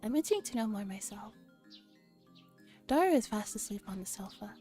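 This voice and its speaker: A woman streamer softly reading a visual novel aloud in an ASMR style, with gentle, casual asides to her chat. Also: medium pitch, very animated, moderate pace. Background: music.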